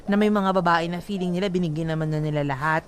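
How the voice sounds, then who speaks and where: A woman's voice: on the low side, a little hiss or hum. A woman co-hosting a radio call-in show, teasing and joking often as she talks with a caller. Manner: teasing, quick jokes, laughs often. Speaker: radio co-host. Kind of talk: playful radio call-in banter.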